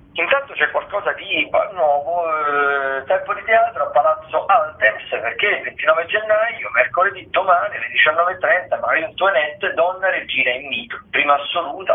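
Guy doing theatre picks on the radio over a phone line, mid pitch, kinda halting and wordy. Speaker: theatre critic, regular radio contributor. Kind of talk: spoken theatre listings and commentary. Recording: narrow tinny phone line.

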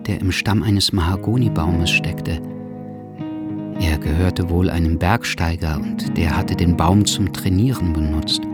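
An actor playing a sombre male writer who narrates history in measured literary style for a radio drama. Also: low-pitched.

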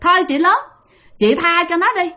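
Woman voicing a ghost lady begging, high pleading voice. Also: moderate pace, pushed and bright. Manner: pleading, coaxing. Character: pleading female ghost in clogs.

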